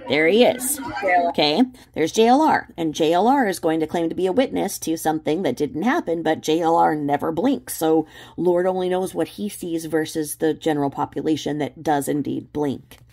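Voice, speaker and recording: A woman hosting a casual livestream commentary, explaining in a halting, repetitive, sardonic way. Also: sits low, creaky, mellow. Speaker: livestream host commenting on video clips.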